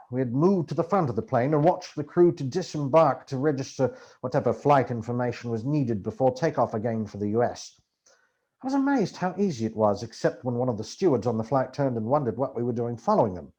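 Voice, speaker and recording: A man reading a memoir aloud as an amateur audiobook narrator, with theatrical, expressive storytelling. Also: mid-range voice, brisk, slightly rough. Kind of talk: dramatic literary narration of a memoir.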